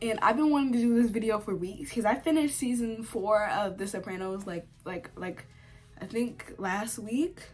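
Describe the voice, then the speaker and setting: A young woman vlogger with a congested cold, chatting at home in a sit-down video and giving opinionated takes full of "like" and sudden animated outbursts. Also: mid-range voice, normal speed, soft background music. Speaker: vlogger reviewing a TV series. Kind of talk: casual sit-down chat to camera.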